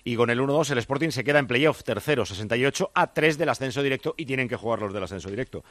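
A man anchoring a live radio sports broadcast at a rapid clip. He banters with his reporters and reels off scores and table positions. His voice sits in a dry, close studio sound. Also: mid-range voice, animated, a bit bright, no room sound. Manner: quick, bantering, jumps between reporters. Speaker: radio sports show host.

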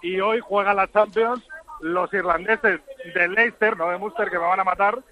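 A man's voice: high-pitched, steady tone, moderate pace. A man reporting live from outside a rugby final, chatting and joking with fans around him. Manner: chatty, jokey, interviews fans on the spot. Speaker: field reporter at a rugby match. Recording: dull lo-fi remote line.

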